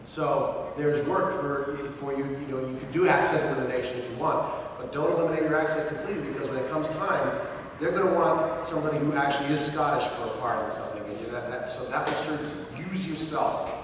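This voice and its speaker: A man's voice: fairly high pitch, fast. A man who works as a voice actor, talking off the cuff on a convention panel, giving advice and joking. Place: large convention hall. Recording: echoey hall from far off, noisy.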